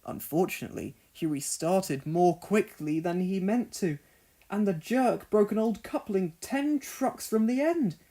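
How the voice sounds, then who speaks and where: A narrator reading a children's read-along story expressively, in deliberate phrases. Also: mid-range voice, expressive, dark tone.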